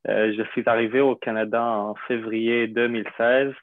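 A young man from Syria, a native Arabic speaker, telling his story in an interview with many pauses, fillers and restarts. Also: mid-range voice, little pitch movement, no echo. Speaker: interview guest. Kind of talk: hesitant conversational interview. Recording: dry tinny phone-like line.

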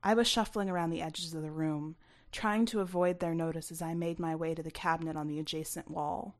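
A woman narrates first-person fiction from a script, as an author reading her own work. Her voice sits a little low. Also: steady tone, brisk.

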